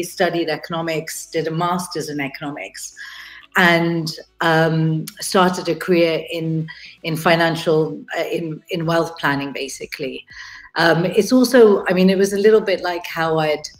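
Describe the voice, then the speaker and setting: A woman's voice: low-pitched, rough recording. A middle-aged woman from Kenya with a British accent, chatting casually about her life as a guest artist over a video call, with many fillers and restarts.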